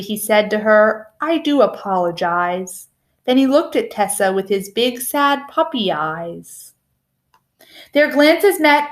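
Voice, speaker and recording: High, very animated woman reading aloud. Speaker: storybook reader. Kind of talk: animated rhyming picture-book read-aloud.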